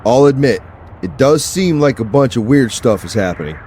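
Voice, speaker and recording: An actor playing a blunt, impatient, dismissive sheriff's deputy in an audio drama, with a sardonic manner. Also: male voice, mid-range voice, animated, moderate pace, darkish tone, lightly acted. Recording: faint vehicle ambience noise.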